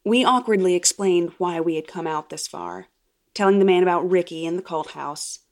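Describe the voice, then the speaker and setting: A woman's voice: on the low side, normal speed, creaky. A woman reading a first-person horror story as a dramatic narrator.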